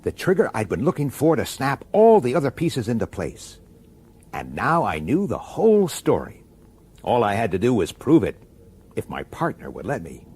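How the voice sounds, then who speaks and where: A man's voice: medium pitch, wildly swooping pitch, normal speed, a little mellow, husky. A man acting in a radio drama as a weary, dogged police detective who narrates his own case with an urgent, exasperated manner. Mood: weary, determined. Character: weary, dogged human police detective, sharp-tempered and intense. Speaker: detective and first-person narrator.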